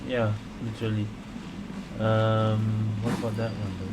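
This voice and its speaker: A man's voice: fairly low pitch, monotone, a little slow. A man giving casual, off-the-cuff gameplay commentary as a gaming streamer. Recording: loud game noise behind voice.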